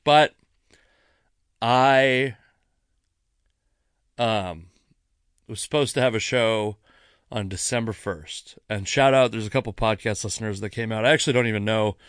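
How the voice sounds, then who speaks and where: A man's voice: lowish voice, measured pace, pauses often, fairly bright tone, clear, resonant tone, no echo, clean studio sound. An American man, a comedian and podcast host, rambling casually and self-deprecatingly with some swearing, a little tipsy. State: mildly tipsy after a few drinks. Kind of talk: casual solo podcast monologue.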